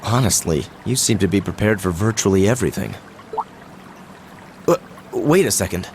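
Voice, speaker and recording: Proud young aristocrat, expressive. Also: male voice, fairly low pitch, moderate pace, slight background noise. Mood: baffled. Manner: formal, haughty delivery. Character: proud teenage male aristocrat student, stern and principled. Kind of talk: dramatic video game voice acting.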